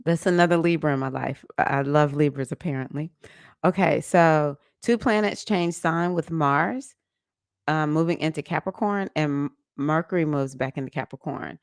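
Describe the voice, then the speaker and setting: Low-voiced chatty woman in her fifties. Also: moderate pace, clear, resonant tone, dry recording, studio quality. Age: 50s. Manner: chatty and animated, laughs often, greets viewers by name. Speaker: livestream host. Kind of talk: casual livestream chat on astrology.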